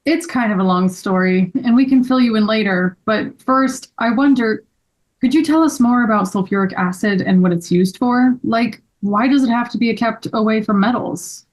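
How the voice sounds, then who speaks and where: A woman's voice: a little high, moderate pace, lightly acted, poor audio. A woman playing a sensible, courteous amateur sleuth in a scripted table read. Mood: polite.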